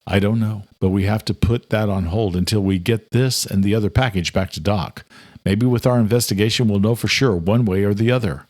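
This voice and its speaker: A man's voice: on the low side, fairly quick, a little mellow, dry recording, clean studio sound, voice pushed down, lightly acted. A man playing a grim, resolute brother, firm and decisive, in audiobook thriller dialogue. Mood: firm, reassuring. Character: grim, resolute brother taking charge of the hunt.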